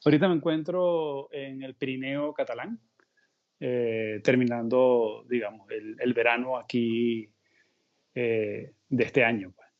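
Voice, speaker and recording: A middle-aged Venezuelan man talking as an interview guest in a relaxed, meandering way, thinking aloud mid-sentence. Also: mid-range voice, measured pace, dull audio. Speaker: guest illustrator. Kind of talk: casual conversational interview. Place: remote call from home abroad.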